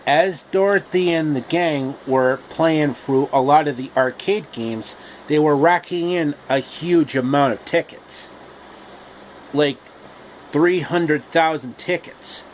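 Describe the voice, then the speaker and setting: A man narrating a comic story casually and haltingly, pausing mid-sentence. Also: on the high side, slight background noise, rough recording.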